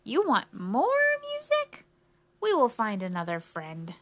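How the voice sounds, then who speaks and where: A woman voicing a cheerfully oblivious storybook cricket, loud, chirpy and questioning. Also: high voice, very animated, a little slow, voice pushed up, exaggerated voice. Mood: oblivious, eager.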